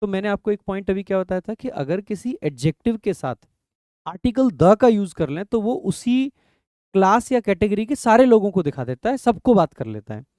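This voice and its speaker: A young man with a high, mellow voice teaches grammar for competitive exams. He walks through each rule patiently, voicing his students' doubts and then settling them himself. The recording is close and dry, with no room sound. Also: big pitch swings, no room sound. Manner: explanatory, asks and answers his own questions, reads rules slowly. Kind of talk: exam-prep grammar lecture in Hindi.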